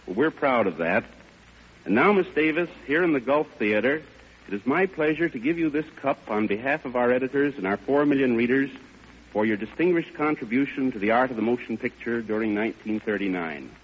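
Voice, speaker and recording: A man's voice: mid-range voice, animated, fairly quick, breathy edge. A man, presenting an award in a stiff, formal prepared speech on vintage radio. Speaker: award presenter, magazine editor. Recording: dull vintage radio broadcast.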